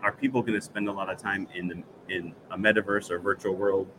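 A man's voice: low-pitched, flat delivery, quick pace, noisy background. Chatty upbeat man hosting an interview. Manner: chatty and upbeat, friendly questions. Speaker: podcast host. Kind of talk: casual interview.

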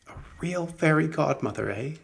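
Young storybook heroine, played by a man: earnest, informal, strongly gravelly with vocal fry. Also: a little high, lively intonation, normal speed. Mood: amazed, hopeful. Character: earnest, hardworking young woman, Cinderella type. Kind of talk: storybook character reading.

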